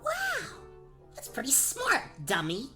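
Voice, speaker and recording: A woman's voice: sits high, wildly swooping pitch, slow pace, sharp tone, soft background music, pitched above the performer's own voice, exaggerated voice. A woman voicing a bratty, sarcastic, bossy little girl in a comedic radio play. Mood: bossy, scheming. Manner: sarcastic, exasperated. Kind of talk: comedic radio play, gag-dub cartoon acting.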